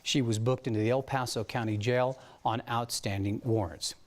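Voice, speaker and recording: A man's voice: medium pitch, fairly even intonation, moderate pace. A man with an American accent anchoring the news, moving between broadcast delivery and light studio banter.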